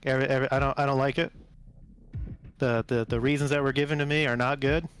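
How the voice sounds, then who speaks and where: A wary, plain-spoken military pilot and protective husband, played by a man at a game table. His mid-pitched voice comes haltingly, with faint music behind it. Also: flat delivery, a bit bright, lightly acted. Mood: uneasy, firm. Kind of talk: tabletop role-play dialogue.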